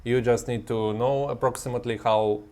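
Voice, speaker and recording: A man's voice: medium pitch, fairly even intonation, moderate pace, darkish tone. A man teaching a language lesson, reading word pairs and explaining exceptions in non-native English with frequent restarts. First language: not Serbian. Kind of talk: vocabulary lesson with examples.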